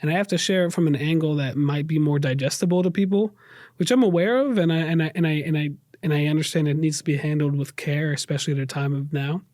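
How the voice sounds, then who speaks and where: Guy w a new york accent, slightly high voice, earnest podcast guest, rephrases a lot, dry sound. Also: fast, darkish tone, no room sound. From raised in the United States, New York. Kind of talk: reflective personal interview talk.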